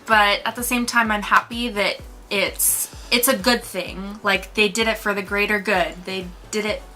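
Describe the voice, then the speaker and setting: Female review co-host, bright crisp-edged voice at average pitch, informal slangy chat, light music behind. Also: steady tone, unhurried. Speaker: co-host of a movie review show. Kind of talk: casual conversational film review chat.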